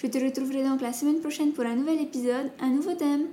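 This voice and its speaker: A woman's voice: high voice, steady tone, fast, warm tone, a little hiss or hum. A woman hosting a podcast, thanking guests and reading a composed outro. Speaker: podcast host.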